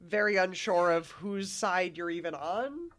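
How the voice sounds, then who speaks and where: Female podcast guest, slightly low voice, informal conversational book talk. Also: expressive, measured pace. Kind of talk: casual book discussion.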